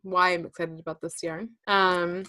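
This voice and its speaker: Brief: bright, chatty woman in her thirties, casual knitting chat, laughs easily. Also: on the low side, flat delivery, normal speed. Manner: chatty, laughs often, lots of fillers. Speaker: podcast co-host.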